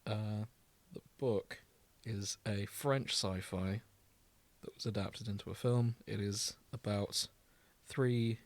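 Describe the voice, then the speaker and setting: A man's voice: fairly low pitch, fairly even intonation, slow. A British man co-hosting a podcast in casual comedic chat, irreverent, rambling and sweary. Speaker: podcast co-host.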